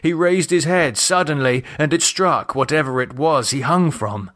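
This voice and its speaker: A man reading a horror novel as a dramatic audiobook, measured and expressive in his delivery. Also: on the high side. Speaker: author and narrator reading own novel.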